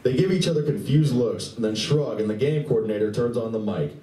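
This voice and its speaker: A man's voice: mid-range voice, animated, fairly quick, warm tone. A man reading scripted narration for a live radio play in a convention room. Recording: distant, echoey convention room.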